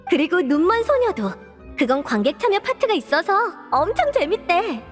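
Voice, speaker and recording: A woman's voice: extremely high pitch, big pitch swings, normal speed. A woman playing a blunt, gossipy young woman in a video game, with a pert, catty manner. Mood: chatty. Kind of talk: Korean video game voice acting. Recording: long reverb, game music behind. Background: music.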